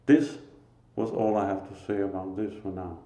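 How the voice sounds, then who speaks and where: A man with a Danish accent giving a slow, earnest, informal spiritual talk, pausing often. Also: low voice, level tone, warm tone. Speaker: lay preacher. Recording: echoey room.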